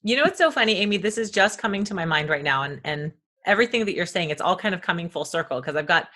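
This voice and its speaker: A woman guest on a wellness podcast who talks loudly and emphatically, sharing personal stories and affirming the host. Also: on the low side, animated, fast talker. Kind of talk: conversational wellness talk.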